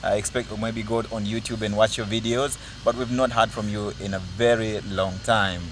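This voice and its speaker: A man's voice: lowish voice, flat delivery, normal speed, a bit bright. A male TV host interviewing a guest, with upbeat announcer-style lines and brief replies. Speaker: TV show host. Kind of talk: interview hosting. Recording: noticeable background traffic noise.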